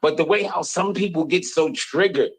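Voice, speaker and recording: A man with a fairly high voice is being interviewed in a radio studio. He talks in a casual, slangy way, animated and opinionated, often repeating a phrase to drive it home. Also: moderate pace. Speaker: interview guest. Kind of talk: casual chat, storytelling.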